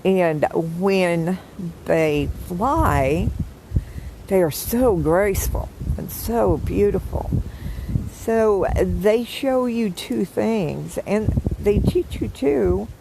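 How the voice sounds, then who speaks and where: Slow, gravelly older woman outdoors. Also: medium pitch, background noise. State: hoarse, froggy morning voice. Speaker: vlogger greeting live viewers. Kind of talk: casual live chat. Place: outdoors in the woods.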